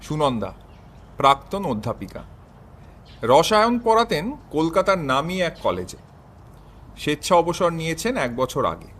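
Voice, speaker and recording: A man narrating a scripted story in an expressive, literary manner. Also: on the high side, unhurried, pauses often.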